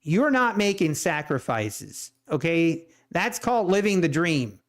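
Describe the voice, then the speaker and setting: Slow-talking man with a Swiss German accent. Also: a little high, very animated. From Ohio. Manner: rambling, repeats himself, exasperated ranting. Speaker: day trading streamer. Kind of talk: casual live stream chat.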